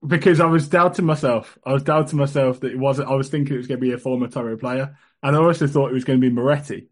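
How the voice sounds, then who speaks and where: Male podcast quiz contestant, mid-pitched voice, hesitant and chatty. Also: steady tone, fast. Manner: hesitant, restarts before answering. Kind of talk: casual podcast chat.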